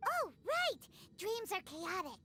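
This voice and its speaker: A woman voicing a small, excitable, childlike fairy companion in a video game, squeaky and easily flustered. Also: slow pace, slight background noise, heavily acted. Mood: eager, curious. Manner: refers to self in third person, easily flustered. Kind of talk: English video game voice acting. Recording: music loud behind the voice.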